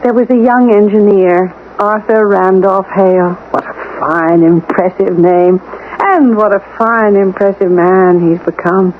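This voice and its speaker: An actress playing a self-assured, formal, managing housekeeper in a 1970s radio drama. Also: female voice, mid-range voice, fairly even intonation, measured pace, natural voice. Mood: wistful, flattering. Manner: poised, formal and persistent. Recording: tinny, noisy old radio broadcast.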